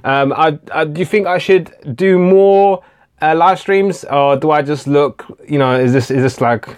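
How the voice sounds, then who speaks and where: A man's voice: on the high side, measured pace, smooth. A man hosting a casual live-stream Q&A, chatting hesitantly with many fillers and restarts while answering viewer comments. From England. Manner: reads viewer comments aloud and answers them. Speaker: tech channel host.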